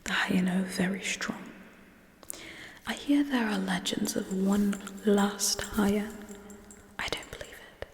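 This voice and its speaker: A young woman voicing a coolly menacing, scheming female alien leader in a breathy, deliberate ASMR roleplay whisper. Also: mid-range voice, steady tone, slight background noise. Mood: sly storytelling. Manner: slow, deliberate, hushed, coolly condescending. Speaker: ASMR performer. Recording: long reverberant echo.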